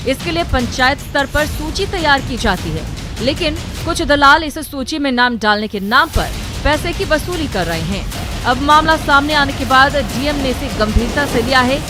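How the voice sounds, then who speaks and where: A woman reading a scripted television news voice-over. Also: high voice. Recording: loud music bed under voice. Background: music.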